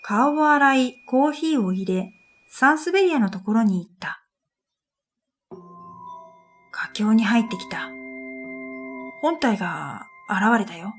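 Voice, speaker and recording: A woman reading a scripted first-person story in a reflective, measured way, as narrator of an audio drama. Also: fairly high pitch, lively intonation. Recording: background music under the voice. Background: music.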